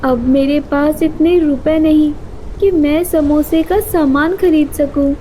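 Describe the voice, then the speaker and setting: A small, kind and anxious female cartoon bird, played by a woman, speaks earnestly and pleadingly. The voice is high and mellow, with unhurried pacing. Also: fairly even intonation, a little hiss or hum. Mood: worried, pleading.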